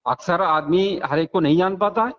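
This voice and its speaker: A man arguing in a phone conversation, emphatic and repetitive, often breaking in with agreement as he defends his side. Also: moderate pace. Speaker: debater defending a religious organisation. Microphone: phone call. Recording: muffled low-fi phone call recording.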